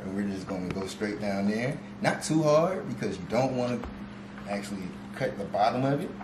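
A young man, a guest chef, calmly explaining a recipe step by step in a home kitchen, filling pauses with "you know". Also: lowish voice. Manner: steady, instructive, with fillers like "you know". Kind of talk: casual cooking demonstration. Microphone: far camera. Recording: echoey kitchen, noisy.

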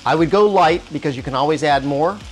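A man in his forties hosting a cooking show, explaining each step calmly in a home kitchen with small restarts, on a lapel mic. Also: fairly high pitch, fairly quick. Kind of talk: cooking demonstration. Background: soft music and sizzling wok.

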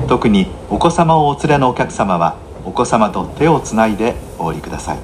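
Low-voiced man making polite train announcements. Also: sing-song. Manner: polite, measured, formulaic. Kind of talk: scripted onboard announcement, formal and polite. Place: inside a train, over the announcement system. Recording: noisy train cabin, air-conditioning hum.

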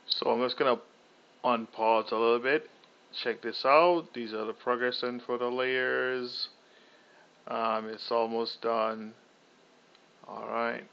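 A young man casually talking through a software tutorial, thinking aloud with fillers and restarts. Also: mid-range voice, steady tone, slow pace, vocal fry, pauses often, clean tone. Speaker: tutorial host. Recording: slightly dull lo-fi audio.

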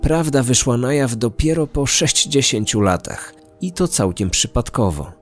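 A man's voice: medium pitch, expressive, normal speed, soft background music. A man delivering scripted documentary narration as the host of a true-crime podcast.

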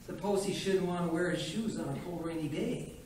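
A simple, earnest widowed mother, read by a man in a plain, hesitant way. The voice sits slightly high and echoes heavily around the room. It is picked up from afar over faint noise. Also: a little fast, darkish tone, far from the mic, lightly acted. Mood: anxious.

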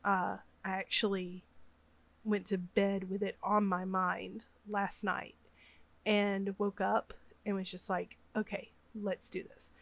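A woman's voice: medium pitch, little pitch movement, slow pace, vocal fry. A woman with a Southern US accent, sick and sniffly, chatting casually over her game build with lots of ums and uhs. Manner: rambling, chatty, many fillers.

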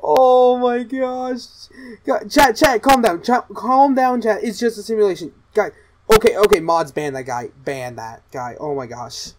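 A woman playing an excitable, mischievous gamer girl streamer who gleefully torments people in a livestream roleplay. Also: fairly low pitch, slight background noise.

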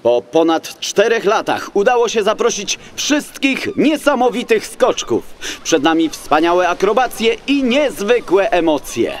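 Excited high-pitched dolphin announcer. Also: male voice, a little slow, slight background noise. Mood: showy delight. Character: excited male bottlenose dolphin contest presenter. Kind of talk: showy event-announcer acting in an audiobook.

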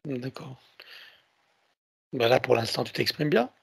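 A man in his sixties, a hypnotherapist on a headset video call, asking calm probing questions between short acknowledgments. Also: medium pitch, unhurried, vocal fry, breathy edge, dry recording, clean studio sound. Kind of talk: therapy intake interview.

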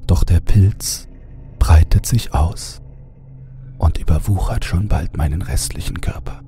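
A man narrating a horror audiobook in a deliberate, theatrical way, at times dropping to a hushed tone. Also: deep voice, slight background noise. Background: music under the voice.

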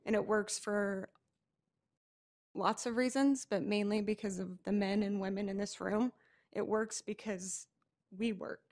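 City worker lady at a podium, sincere, pretty flat intonation. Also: mid-range voice. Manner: sincere, appreciative. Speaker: city employee. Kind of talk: public comment. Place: large council chamber. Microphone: podium mic.

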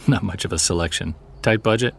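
An actor playing an earnest, wry Bigfoot researcher in an audio drama, speaking in a conversational, musing way. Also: male voice, sits low, normal speed, creaky, slightly rough, exaggerated voice. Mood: curious, wry. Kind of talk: naturalistic audio drama acting, recorded field-log monologue and dialogue.

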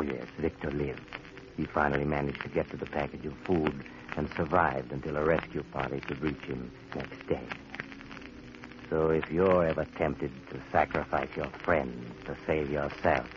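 An actor playing a sinister, wry doctor who narrates macabre tales in theatrical 1940s radio style. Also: male voice, a bass voice, moderate pace. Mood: wry, ominous. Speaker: narrator, host. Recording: muffled, very noisy 1940s radio recording.

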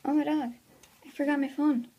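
A girl voicing an excitable, naive friend who squeals and gushes, in a toy skit. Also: high-pitched, a little fast, lo-fi sound, lightly acted. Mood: excited.

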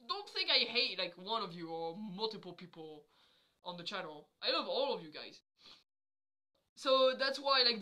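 A young woman with a cold talks straight into her webcam from a small bedroom. Her voice is bright and forceful, and she rambles loosely, filling gaps with "like" and laughing often. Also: medium pitch. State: has a cold. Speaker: vlogger. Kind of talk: casual chat to camera. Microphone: webcam at desk.

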